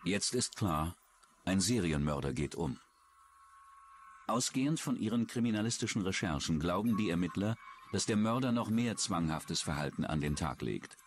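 A man's voice: deep voice, expressive, normal speed, slight background noise. A man reading scripted true-crime documentary narration.

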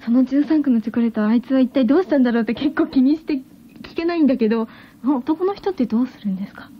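A woman's voice: sits high, racing. A woman hosting a radio show, asking her guest questions in a chatty, giggly, girlish way. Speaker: radio host. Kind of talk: casual radio interview. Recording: dull 1980s radio air-check with hiss.

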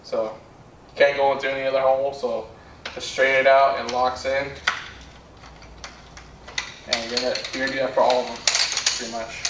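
A young man giving a casual product demonstration in a plainspoken, low-key way, recorded indoors on a distant camera mic. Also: level tone, normal speed, pauses often. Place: bare indoor room with carpet. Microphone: far camera. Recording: roomy echo, distant mic, background noise.

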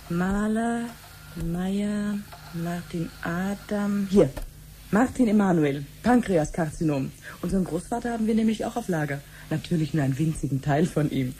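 An actress playing an obliging clinic researcher in a 1970s radio play, explaining things patiently and conversationally. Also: female voice, fairly low pitch, animated, moderate pace, mellow, slight background noise, close to an everyday voice. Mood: matter-of-fact, explaining. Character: chatty, obliging female clinic researcher showing her sister around.

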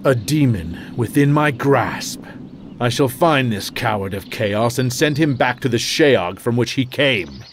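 An actor playing a stern, pious necromancer lord in a fantasy game, with pompous, measured, archaic speech. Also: male voice, mid-range voice, expressive, a little hiss or hum, natural voice. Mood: menacing, haughty. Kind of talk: dramatic fantasy game dialogue. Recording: loud music under the voice.

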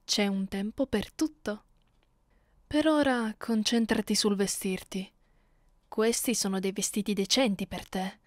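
A woman voicing a haughty, cruel, centuries-old vampire mistress in an ASMR roleplay, imperious and taunting in a measured way. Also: mid-range voice, no echo, studio quality, close to an everyday voice. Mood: haughty, bored.

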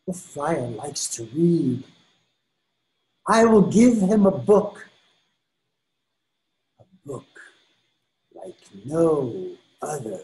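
A man's voice: fairly high pitch, sing-song, very slow, pauses often, mellow, roomy sound, exaggerated voice, low-quality recording. An older man voicing a scheming male devil disguised as a friar in a readers theater piece over a video call, drawn out and gloating.

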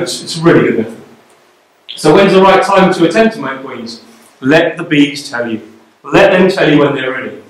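Man lecturing in a very echoey room. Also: fairly high pitch. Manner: conversational, checks in with "okay?" and "you know?". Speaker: beekeeping lecturer. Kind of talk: live presentation to a club. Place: darkened lecture room with a projected slide. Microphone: far camera at the back of the room. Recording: very echoey room, camera far off.

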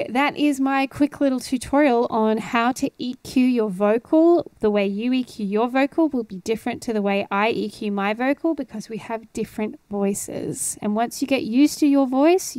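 A woman's voice: fairly high pitch, a little mellow, clear, resonant tone, no room sound. A woman in her thirties explaining things in a casual, encouraging, conversational tutorial style, speaking close into a studio microphone. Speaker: host of an audio tutorial series. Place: indoor home studio. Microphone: close studio microphone on boom arm with headphones.

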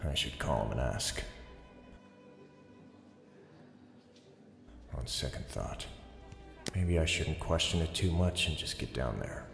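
An actor playing an earnest, guarded, weary police detective in an audio drama, dry and understated. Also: male voice, very deep voice, expressive, moderate pace, creaky, heavily acted. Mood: weary, reflective. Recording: reverberant hall sound, music behind.

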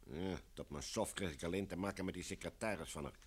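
An actor playing a brash, jovial film director in a Dutch radio play, talking bluntly and informally. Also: male voice, deep voice, fast talker, breathy edge. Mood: chatty, blunt.

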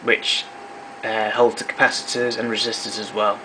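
A man's voice: fairly low pitch, flat delivery, moderate pace, noisy background. A man giving a matter-of-fact, technical walkthrough of equipment, recorded indoors on a camera microphone. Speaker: hobbyist demonstrator. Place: indoor room at home.

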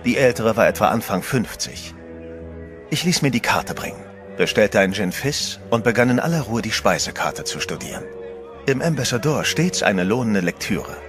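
A man's voice: on the low side, expressive, normal speed, natural voice. An actor playing a composed adventurer who narrates his own story in a horror radio play. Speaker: first-person narrator. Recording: music bed under the voice.

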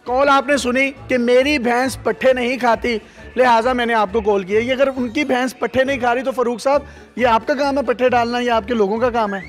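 A man's voice: very high voice, steady tone. A man in his thirties with a Pakistani accent, presenting and interviewing playfully as a reporter on a handheld mic. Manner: playful, teasing. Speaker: reporter hosting a segment. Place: emergency call-centre control room. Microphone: handheld reporter microphone. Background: background music.

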